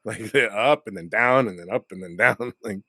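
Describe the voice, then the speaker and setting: A man, an actor guest in a casual chat, talking in an animated way with lots of fillers and frequent laughter. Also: fairly low pitch, normal speed, no echo. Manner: animated, laughing often, many filler words.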